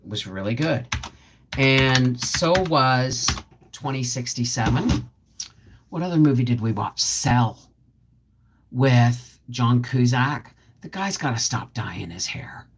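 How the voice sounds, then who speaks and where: A woman's voice: very deep voice, slow, background noise. A middle-aged woman chatting casually on a live stream, rambling and answering viewer comments as she goes. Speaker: homestead channel host.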